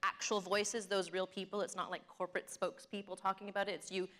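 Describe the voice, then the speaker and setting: A woman's voice: mid-range voice, flat delivery, fast talker, fairly bright tone. A woman speaking earnestly as a corporate marketing panelist, explaining things in business language. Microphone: event stage microphone.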